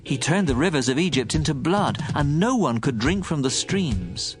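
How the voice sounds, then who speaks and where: Higher-pitched male scripture narrator over music. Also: sing-song, normal speed. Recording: music bed under the voice.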